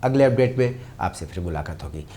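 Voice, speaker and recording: A man's voice: low-pitched, expressive, a little fast, mellow, slight background noise. A man reading scripted news as an anchor, in a formal and emphatic manner.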